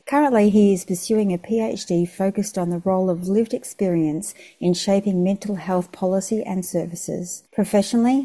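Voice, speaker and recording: A woman hosting a podcast interview, reading a guest bio in a measured way before chatting. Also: fairly low pitch, warm tone. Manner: measured, reads deliberately.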